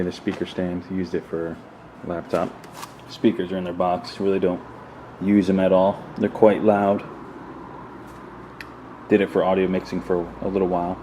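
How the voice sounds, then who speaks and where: A man with a low-pitched voice talks through a gear explainer off the cuff. His phrasing is clipped and offhand, like an informal vlog. There is clear noise behind him in the recording. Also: warm tone. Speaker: vlogger showing a home podcast setup. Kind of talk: casual unscripted explainer walkthrough. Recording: clearly noisy room recording.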